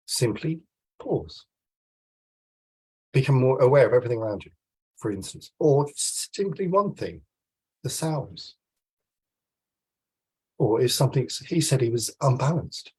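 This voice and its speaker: A British man in his forties, congested with a cold, gives an informal, explanatory coaching talk on a video call, with frequent pauses and a husky voice. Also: mid-range voice, a little mellow, rough recording. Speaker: swimming coach. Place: small room at home, indoors. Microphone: video call, computer mic.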